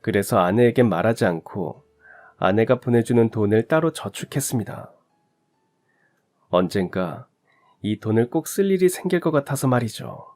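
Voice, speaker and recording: A man narrating a family story in a calm, reflective, confessional way. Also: on the low side, moderate pace, soft background music. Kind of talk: scripted radio story narration.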